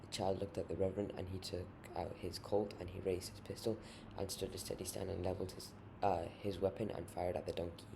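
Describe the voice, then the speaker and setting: Noisy amateur recording, man, very gravelly low voice, grave literary storytelling. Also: level tone, brisk, hardly any pauses. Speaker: author reading own short story. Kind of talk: dramatic story narration.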